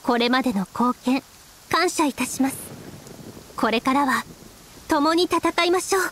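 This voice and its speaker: An actress playing a gentle, composed young priestess commander in a Japanese video game, speaking politely and formally in measured phrases. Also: female voice, high-pitched, a little hiss or hum. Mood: calm, caring. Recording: music and rain under dialogue.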